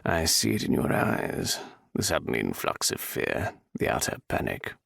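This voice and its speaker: A man voicing a villainous nobleman, speaking low and silkily with quiet menace. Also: wildly swooping pitch, a little slow, vocal fry, slightly rough, no room sound, clean studio sound, heavily acted. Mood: silkily menacing. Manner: low, silky, threatening. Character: menacing silky male viscount villain.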